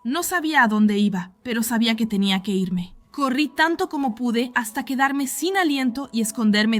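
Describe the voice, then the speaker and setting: High-voiced woman narrating horror. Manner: even, measured first-person storytelling. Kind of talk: scripted horror story narration.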